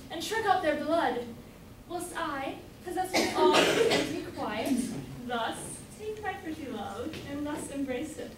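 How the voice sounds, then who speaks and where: A young woman student playing a devoted gentlewoman in love in an amateur staged reading of a Jacobean comedy. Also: high-pitched. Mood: tender. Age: young adult. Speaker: student actor. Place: college room, staged reading. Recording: echoey room from far off, faint noise.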